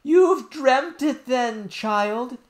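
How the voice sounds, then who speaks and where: A man voicing a fussy, easily offended woman nurse, loud and drawn out, in a storybook reading. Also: extremely high pitch, lively intonation, very slow, pitched above the performer's own voice, exaggerated voice. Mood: vexed. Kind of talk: audiobook character voice.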